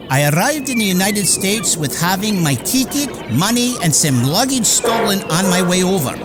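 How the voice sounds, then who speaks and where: A man's voice: high voice, heavily acted, rough recording. An actor playing a proud immigrant inventor who narrates his life formally and dramatically in a radio theater piece. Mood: solemn recollection. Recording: music under the voice.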